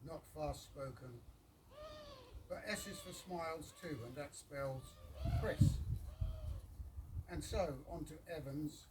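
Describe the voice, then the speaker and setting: Man with a lightish, higher voice, informal poetry recital in short measured phrases, picked up from a distance. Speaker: reader of a poem at a birthday gathering. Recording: distant mic with clear background noise.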